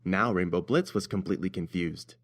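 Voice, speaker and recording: A man's voice: deep voice, a little fast. A man narrating a scripted fan-fiction story as an audiobook reader.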